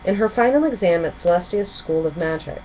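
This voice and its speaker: A woman narrating a children's storybook aloud as a storyteller, with expressive delivery. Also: fairly low pitch, brisk. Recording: muffled, with clear background noise.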